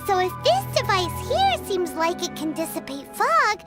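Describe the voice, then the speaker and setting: A woman playing a tiny, excitable, childlike fairy companion in a video game, squeaky and exclamatory. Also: a little slow, exaggerated voice. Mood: curious, earnest. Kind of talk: video game character acting. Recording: loud music under the voice.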